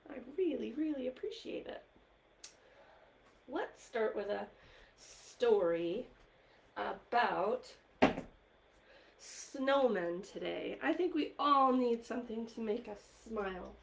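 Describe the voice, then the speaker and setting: A woman hosting a live online story time for young children, speaking directly to them in an encouraging, gently questioning way. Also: on the high side, gravelly, a little hiss or hum. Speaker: library story time host.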